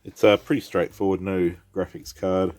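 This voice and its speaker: Low-voiced man with a head cold. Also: flat delivery, moderate pace, a little mellow. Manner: rambling, thinks aloud, reads on-screen text. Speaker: PC repair vlogger. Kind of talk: casual rambling commentary while working.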